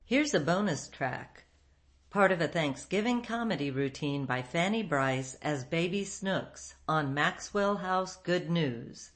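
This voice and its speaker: A woman's voice: lowish voice, animated, slow pace. A woman hosting, reading a scripted introduction to an archive radio broadcast. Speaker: radio show host.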